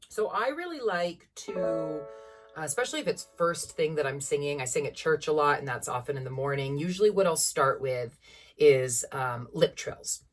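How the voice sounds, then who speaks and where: Low-voiced woman teacher leading a warm-up. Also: distant mic. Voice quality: low-placed speaking voice. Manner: encouraging, instructive, demonstrates vocal sounds. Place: small room at home with a piano.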